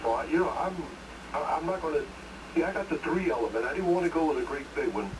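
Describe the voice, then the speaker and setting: A man's voice: on the high side, expressive, quick pace. A man chatting casually as an amateur radio operator over a shortwave link, rambling in a folksy way with lots of "you know". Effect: shortwave radio transmission. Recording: noisy distant ham radio signal.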